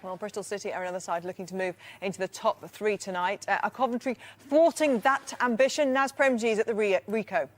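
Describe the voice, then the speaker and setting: A woman's voice: medium pitch, a little fast, hardly any pauses. A woman working as a television sports presenter, linking live from the studio to reporters.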